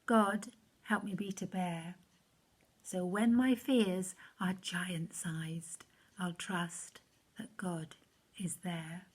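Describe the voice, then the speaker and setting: A woman voicing a brave, devout young shepherd boy from a children's rhyming story, in a measured, earnest, rhythmic way. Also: on the low side, fairly even intonation, slightly rough, exaggerated voice. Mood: earnest, resolute.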